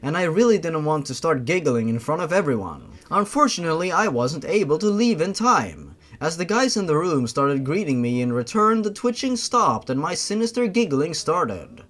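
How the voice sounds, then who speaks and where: A man's voice: on the high side, sing-song, moderate pace, hardly any pauses, a bit bright. A man reading scripted horror fiction as a narrator, in a theatrical, dramatic way. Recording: music behind the voice. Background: music.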